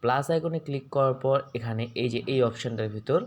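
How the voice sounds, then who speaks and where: A man gives an informal tutorial in a medium-pitched voice. He instructs one step at a time and often adds short questions to check that viewers follow. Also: fast, a little mellow.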